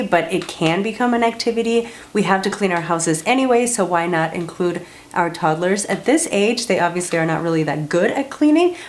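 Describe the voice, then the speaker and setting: A woman's voice: mid-range voice, slight background noise. A woman from Colombia talking casually to camera as a parenting vlogger, upbeat and chatty, with plenty of filler words.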